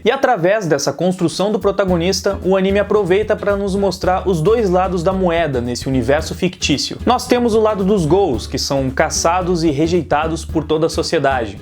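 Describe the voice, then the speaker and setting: A man's voice: high-pitched, a little mellow. A man with a Brazilian accent hosting a casual, scripted video review in an informal, conversational, slangy way. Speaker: YouTube host and anime reviewer.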